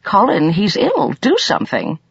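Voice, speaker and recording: Mature resolute lady character acted by a woman, audiobook, brisk, composed, husky, expressive pitch, slightly muffled sound. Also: no room sound, exaggerated voice. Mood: anxious, astonished.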